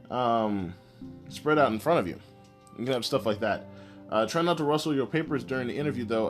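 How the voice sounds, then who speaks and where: Man giving podcast advice over guitar music. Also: mid-range voice. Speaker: podcast host. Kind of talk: informal advice podcast, semi-scripted.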